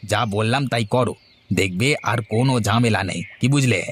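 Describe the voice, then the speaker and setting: A man's voice: on the low side, normal speed, a little hiss or hum, lightly acted. A man voicing a crafty, self-important village advisor in a cartoon, boastful and cajoling, with rhetorical questions. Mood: sly, explaining. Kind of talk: cartoon voice acting.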